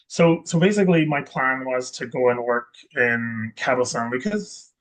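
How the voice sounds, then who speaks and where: A man from Scotland talks through his story as an interview guest. He wanders through his points, restarting sentences and filling gaps with ums. His voice sits at an ordinary middle pitch. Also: animated, moderate pace, fairly bright tone, poor audio. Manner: rambling, self-correcting with many ums and restarts. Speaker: guest investor. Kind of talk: casual interview chat.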